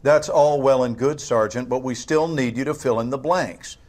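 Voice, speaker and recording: An actor playing a controlled government agent interrogator in a game, measured, pointed and coolly sardonic. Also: male voice, medium pitch, a little mellow, natural voice. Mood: coolly pressing. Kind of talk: cinematic game drama acting.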